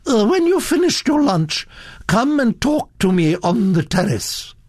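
An elderly aristocratic Duchess, pitched high and swooping widely. She is autocratic and scornful, fussing and exclaiming as she hands down her verdicts. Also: sing-song, takes it slowly, a little mellow, slightly rough, no room sound, clean studio sound, heavily acted. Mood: imperious. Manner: autocratic, exclamatory, fussy hesitations.

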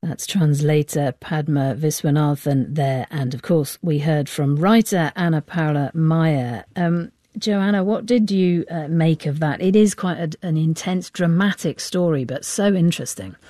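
A woman's voice: low-pitched, measured pace, hardly any pauses, mellow, dry recording, studio quality. A woman with a British accent presenting a news programme on the radio.

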